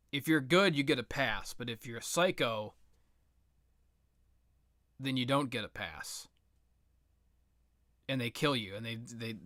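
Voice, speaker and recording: Bright-voiced man, sarcastic game ranter. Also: medium pitch, no echo, clean studio sound. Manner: sarcastic, profane ranting. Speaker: video game reviewer and host. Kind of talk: casual rambling opinionated game commentary.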